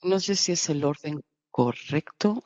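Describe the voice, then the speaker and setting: Halting woman interpreting a conference. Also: low voice, lively intonation, a little mellow, no echo, highs cut off. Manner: halting, with restarts and uneven bursts of speed. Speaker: simultaneous interpreter.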